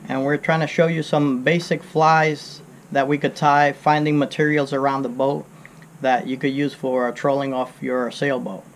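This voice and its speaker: A man's voice: medium pitch, little pitch movement, normal speed, slight background noise. A middle-aged man from Florida giving a casual, hands-on demonstration of tying fishing lures, easygoing and rambling, often saying "you know.". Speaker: fishing guide teaching lure making. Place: under a covered boatyard shelter outdoors.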